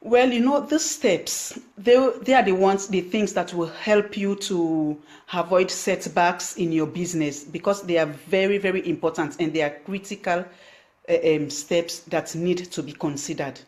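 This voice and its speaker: A woman's voice: fairly low pitch, normal speed, a little mellow. Sierra Leonean woman on a live stream. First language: Krio. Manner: thanks viewers by name, many fillers and restarts. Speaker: online business coach. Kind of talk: Facebook live stream talk.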